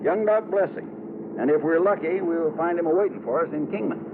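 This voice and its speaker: An actor playing a folksy, excitable small-town insurance man in an old-time radio drama. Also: on the high side, a little fast, natural voice. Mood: insistent. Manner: folksy, colloquial, emphatic. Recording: tinny, noisy vintage radio recording.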